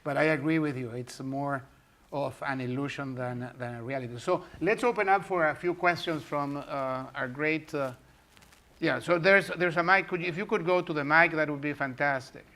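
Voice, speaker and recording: A man's voice: a little high, normal speed, sharp tone. A man moderating a question session, speaking hesitantly with stumbling restarts.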